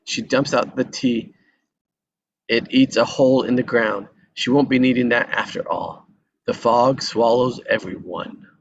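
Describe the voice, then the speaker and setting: A man's voice: mid-range voice, a little slow. A man narrating a screenplay's action lines at a table read, sometimes tripping over and repeating words. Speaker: reader of stage directions. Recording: dull lo-fi sound with background noise.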